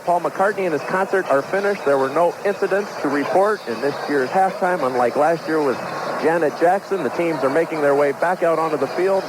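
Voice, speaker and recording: American radio sports reporter, clearly noisy recording. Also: male voice, fairly high pitch. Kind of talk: live radio sports report with sponsor reads.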